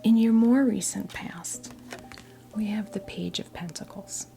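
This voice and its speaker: An American woman in her fifties giving a tarot reading as ASMR role play, whispering softly in a halting, gently reassuring way. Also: mid-range voice, faint music behind. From New Jersey, US. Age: 50s. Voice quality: whispered, breathy soft voice. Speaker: ASMR performer playing a tarot reader.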